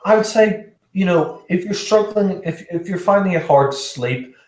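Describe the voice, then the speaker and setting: A man's voice: sits high, moderate pace, darkish tone, echoey room. A man giving casual fan commentary on a movie, mocking and swearing, often repeating words as he talks.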